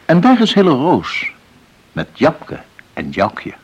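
An actor narrating a rural radio play as a solemn, poetic storyteller in a measured, husky voice. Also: male voice, a little high, wildly swooping pitch, lo-fi sound, exaggerated voice. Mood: solemn, lyrical.

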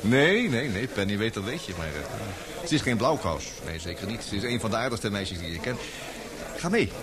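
A man's voice: lowish voice, big pitch swings, moderate pace. A man acting in a Dutch radio play as an affable, chatty second-in-command, with an informal and sociable manner. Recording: radio play with clear crowd murmur.

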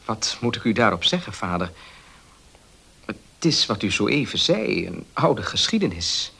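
An actor playing a sober young student who speaks in an earnest, reassuring way in a Dutch radio play. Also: male voice, on the low side, sing-song, a little slow, creaky, sharp tone, slightly rough, full character voice. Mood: resigned, earnest. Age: young adult.

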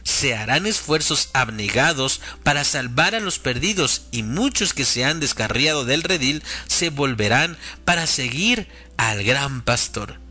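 A man's voice: mid-range voice, animated, a little slow, sharp tone. A man hosting a religious program, preaching in an earnest, admonishing way and addressing listeners directly as a spiritual teacher.

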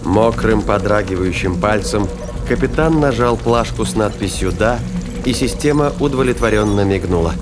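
A man narrating a scripted audio drama in a measured storytelling manner. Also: lowish voice, animated. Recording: narration over loud music and effects.

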